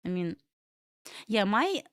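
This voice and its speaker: A woman's voice: medium pitch, sing-song, slow, a bit bright, clean tone, dry recording. A young adult woman from Belarus with a Russian accent, chatting loosely with viewers while she hosts a live trading stream. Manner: chatty, loose and rambling, answering chat questions between market comments. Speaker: livestream trading host. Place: home room in Florida.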